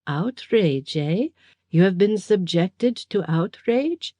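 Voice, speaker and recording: A woman's voice: medium pitch, lively intonation, slow, mellow, dry recording, studio quality. A woman doing a sneering, sinister foreign villain. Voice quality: sneering, insinuating.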